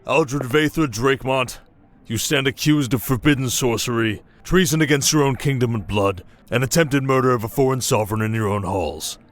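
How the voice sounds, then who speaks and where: A man's voice: medium pitch, normal speed, few pauses, natural voice. A man playing a confident, protective desert king with a commanding, dryly teasing manner in a fantasy audio roleplay. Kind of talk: dramatic ASMR roleplay acting.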